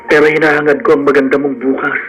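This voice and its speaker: A man's voice: a little high, little pitch movement, fast talker, fairly bright tone, soft background music, natural voice. A man acting in a Filipino radio drama as an earnest, poor young suitor, lovelorn and proud, speaking solemnly. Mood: tender, earnest. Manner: heartfelt, solemn declarations.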